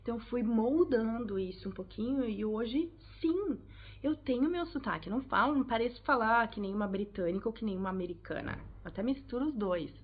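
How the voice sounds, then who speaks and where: A woman's voice: a little high, lively intonation, brisk, a little hiss or hum. A woman from Brazil with a Brazilian Portuguese accent, giving chatty, direct advice to her viewers in a casual vlog. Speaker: vlogger, English teacher. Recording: tinny phone-like sound.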